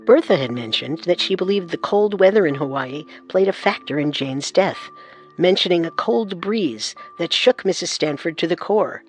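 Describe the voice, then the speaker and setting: A man narrating a true-crime radio story in an earnest, assertive way over soft music. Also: fairly high pitch, animated, normal speed, low-quality recording. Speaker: narrator and co-host.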